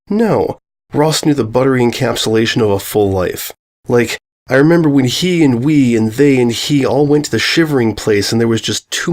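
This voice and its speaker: A man's voice: mid-range voice, close to an everyday voice. Man acting an absurdly grandiose funeral eulogist. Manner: oratorical, rhetorical questions and grandiose nonsense. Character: male cousin giving an absurd, florid funeral eulogy.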